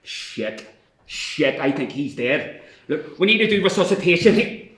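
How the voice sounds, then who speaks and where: A man's voice: fairly high pitch, big pitch swings, measured pace, a bit bright. A man playing an exasperated, commanding paramilitary leader in a screenplay table read. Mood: angry, exasperated. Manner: commanding, sweary, urgent. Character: exasperated paramilitary leader struggling to keep control. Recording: echoey room.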